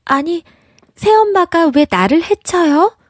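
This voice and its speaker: A woman voicing an innocent, gentle young princess in a storybook, with a childlike, guileless manner. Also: very high-pitched, big pitch swings, takes it slowly, no room sound, studio quality, voice pushed up, full character voice. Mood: bewildered.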